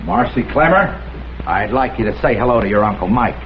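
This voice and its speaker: A man's voice: medium pitch, expressive, a little fast, lightly acted. An American man playing a slangy, cajoling small-time crook in a 1950s TV crime drama. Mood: needling. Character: wheedling small-time male crook pleading for a friend. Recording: muffled vintage TV soundtrack, faint noise.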